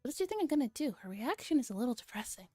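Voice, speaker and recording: Self-important, exasperated young man read by a woman, visual novel narration, soft mellow tone, dry close sound. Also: high-pitched, very animated, racing, no echo, faint music behind.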